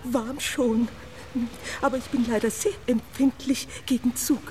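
A woman's voice: on the high side, fairly even intonation, a little slow, darkish tone, slight background noise, natural voice. A woman playing a prim, easily frightened little doll-like creature in a children's radio drama, theatrical and old-fashioned in speech, prone to wailing and stammering. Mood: haughty, refined.

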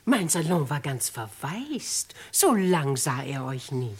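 A shrewd aristocratic lady in a 1950s radio play. Her husky voice sits fairly low and moves with great animation. She is theatrical and emphatic, with an archly gracious courtesy. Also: big pitch swings, slow pace, exaggerated voice. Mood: slyly flattering. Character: shrewd, commanding noble old spinster, mockingly gracious.

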